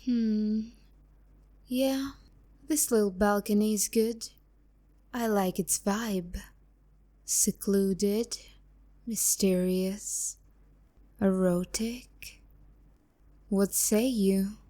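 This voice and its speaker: Casting: actress as a sharp-tongued female demon courtesan for ASMR roleplay; mellow tone, unhurried delivery, haughty and seductively teasing. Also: medium pitch, steady tone. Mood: sultry, teasing. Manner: condescending, teasing, biting insults. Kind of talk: romantic ASMR roleplay, addressing the listener.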